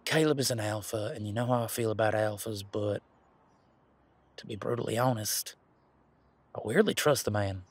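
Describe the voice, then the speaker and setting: Husky, easygoing werewolf guy, played by a man. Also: lowish voice, normal speed, pauses often. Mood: casual, frank. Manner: informal, chatty, frank, mildly profane. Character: easygoing, protective male werewolf, imposing but responsible. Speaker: voice actor in audio roleplay. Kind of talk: casual conversational audio roleplay monologue.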